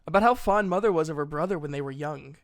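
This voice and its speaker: A man's voice: on the high side, close to an everyday voice. A man voicing an anxious, earnest young half-elven boy with a hesitant way of speaking, in an audiobook. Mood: uneasy. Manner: hesitant, halting.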